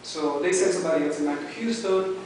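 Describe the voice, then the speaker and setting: A man from Mexico, a native Spanish speaker with a Mexican accent, giving a hesitant personal speech to a club with many pauses and restarts. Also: high voice, a little mellow, slight background noise. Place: meeting room. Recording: echoey room recorded from far off.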